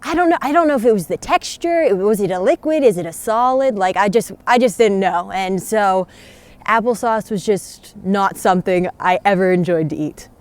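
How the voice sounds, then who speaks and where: A young woman from Pennsylvania recalls her childhood in a chatty way. Her voice is clear and smooth at a middle pitch, and it sinks low and soft as she remembers. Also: normal speed. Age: young adult. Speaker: interviewee, young artist. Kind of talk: casual personal storytelling to camera.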